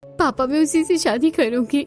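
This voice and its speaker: A woman's voice: sits high, normal speed, lightly acted. A woman voicing a kind, earnest young woman, the daughter of a rich company boss.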